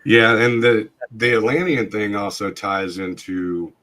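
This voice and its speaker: A man hosting a podcast in casual chat, his voice hoarse and scratchy from a long night of talking. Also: fairly low pitch, measured pace, fairly bright tone. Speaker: podcast host.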